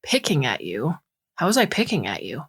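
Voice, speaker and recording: A woman's voice: low voice, huge pitch swings, normal speed, breathy edge, exaggerated voice. A defensive boyfriend argues his side in plain colloquial speech as a woman narrator plays him. He is exasperated and argumentative, in the manner of a dramatized audio story.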